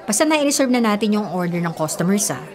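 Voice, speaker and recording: A woman's voice: mid-range voice, very animated, quick pace, lightly acted. A woman acting a chatty, down-to-earth, encouraging bar waitress in a Filipino radio drama. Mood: reassuring, chatty. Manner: chatty, coaxing. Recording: music and crowd chatter behind.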